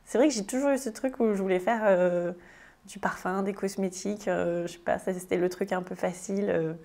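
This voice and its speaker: A woman's voice: mid-range voice, fairly even intonation, a little fast, warm tone. A French woman in her thirties answering interview questions casually, with many hesitations and restarts. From France. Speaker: guest, tiny house builder.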